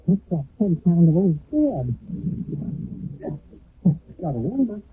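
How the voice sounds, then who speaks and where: A jittery young soldier with a raspy, breathy voice that jumps in pitch. He speaks in nervous bursts. The old broadcast sounds narrow and phone-like. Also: sing-song, slow pace, heavily acted. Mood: anxious. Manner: jittery, exclamatory. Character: anxious young wartime soldier. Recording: tinny old radio broadcast.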